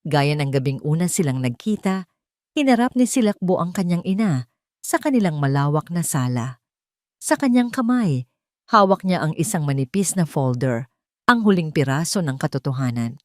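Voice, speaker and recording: A woman's voice: deep voice, big pitch swings, moderate pace, a little mellow, no room sound, studio quality. A woman with a Filipino accent narrating a dramatic story in a solemn, emotive, scripted style.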